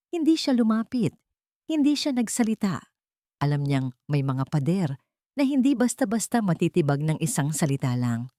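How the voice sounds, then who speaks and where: A woman's voice: deep voice, dark tone, dry recording, clean studio sound. A woman reading a scripted dramatic story as narrator, in a solemn, sentimental manner.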